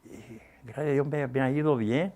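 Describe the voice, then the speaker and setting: A man, speaking slowly and haltingly in a casual interview. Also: medium pitch, mellow. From Mexico, Sonora. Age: 80s. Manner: slow, halting, with pauses. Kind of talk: casual chat.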